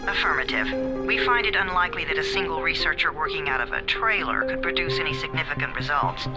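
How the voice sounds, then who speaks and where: A man's voice: a little high, fast talker, lightly acted. A man playing a cold, officious agency handler in a video game, clipped, formal and impersonal. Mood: cold, commanding. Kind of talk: video game dialogue acting. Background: loud music.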